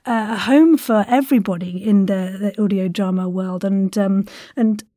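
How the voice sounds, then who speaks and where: A woman's voice: medium pitch, moderate pace, dark tone, no echo, studio quality. A woman hosting a casual interview podcast, talking in an effusive, encouraging way.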